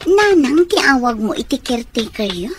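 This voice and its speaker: A woman's voice: fairly high pitch, big pitch swings, moderate pace. An actress playing a young woman who asks probing questions in a radio comedy-drama. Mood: curious, uneasy. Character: questioning young woman, grown daughter with a soldier boyfriend. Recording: faint background noise and ambience.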